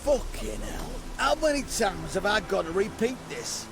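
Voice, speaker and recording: Fantasy game guard: gruff, impatient, foul-mouthed, music underneath. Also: male voice, high-pitched, lively intonation, unhurried, background noise, exaggerated voice. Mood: irritated. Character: surly, foul-mouthed male checkpoint guard. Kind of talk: video game voice acting.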